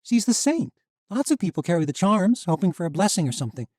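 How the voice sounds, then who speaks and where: Audiobook: male reader as a raw, fiery young woman, high and mellow-edged, lively pitch. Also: dry recording, studio quality. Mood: grieving. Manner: sharp, pleading, quick to anger. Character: fierce grieving young woman warrior. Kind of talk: audiobook character voice.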